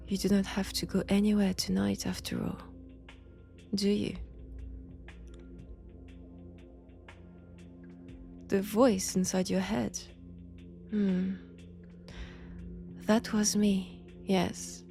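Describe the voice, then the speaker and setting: Woman playing a slow, creaky French-accented vampiress. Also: mid-range voice, level tone, soft background music. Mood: soothing, smug. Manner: soft, taunting, often dropping into whispers. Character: menacing, mad female vampire, seductive and condescending. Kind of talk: slow intimate roleplay audio drama.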